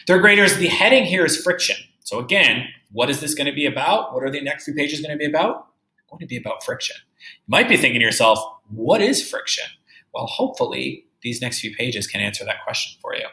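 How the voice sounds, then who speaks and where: A man teaching young children through a read-aloud, reading deliberately and musing aloud with questions for his pupils. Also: mid-range voice, lively intonation, pushed and bright. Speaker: third-grade teacher. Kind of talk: read-aloud lesson with think-aloud commentary. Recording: roomy, echoey indoor sound.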